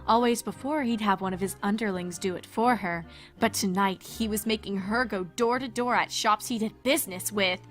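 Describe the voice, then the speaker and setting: A woman with a mid-pitched voice narrates a fan fiction story expressively. Soft music runs behind her reading. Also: normal speed.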